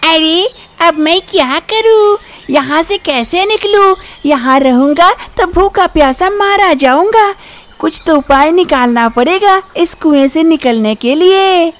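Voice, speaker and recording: A woman's voice: extremely high pitch, lively intonation, unhurried, voice pushed up. A woman voicing a cunning, scheming female fox in a children's cartoon story, with theatrical delivery. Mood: worried.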